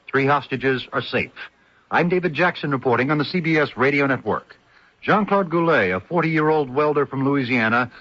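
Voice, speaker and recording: A man reporting news in a scripted network radio newscast with a slightly husky voice. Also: medium pitch, sharp tone. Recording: dull old radio broadcast.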